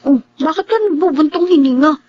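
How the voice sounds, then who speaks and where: A woman's voice: sits high, animated, fairly quick. A woman acting a frank young friend with some bitterness in a Filipino radio drama. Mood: concerned, frank. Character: frank young schoolmate, resentful of absent father. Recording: dull, muffled audio.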